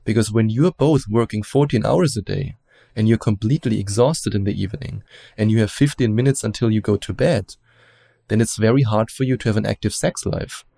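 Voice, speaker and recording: A man with a German accent, a relationship coach giving measured advice as an interview guest, often hedging with "let's say". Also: fairly low pitch, vocal fry, dark tone, no echo, studio quality. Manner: explanatory, measured, hedges with "let's say".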